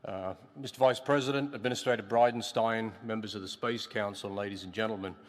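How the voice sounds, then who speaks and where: A man with a Northern Indiana accent, a lunar scientist giving prepared remarks to a government council in a measured, reading manner with self-deprecating asides. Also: fairly low pitch, steady tone. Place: large museum hall. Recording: long reverb in a large hall.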